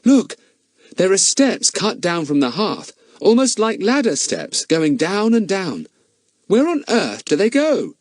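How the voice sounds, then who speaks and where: Man doing a curious schoolboy, higher and excited, voice goes up and down. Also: takes it slowly, no echo, clean studio sound, heavily acted. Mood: amazed. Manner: wondering, excited, raised. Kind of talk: audiobook character voice.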